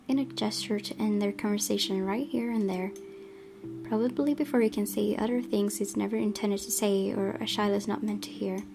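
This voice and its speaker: A woman reading a visual novel aloud as expressive story narration. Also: mid-range voice, fairly quick, creaky, noisy background. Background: game music.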